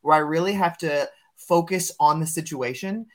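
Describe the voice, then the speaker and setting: A man's voice: a little high, moderate pace. A man hosting a casual conversation, talking in a reflective, thoughtful way as an educational leader. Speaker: podcast host, educational leader.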